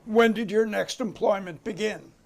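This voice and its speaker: High-pitched male government interrogator played by an amateur reader, courteous, clipped and insistent, in a staged hearing. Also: moderate pace, vocal fry, darkish tone. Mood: formal, probing. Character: formal, insistent male committee counsel questioning a witness. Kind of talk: dramatic reading of a hearing transcript.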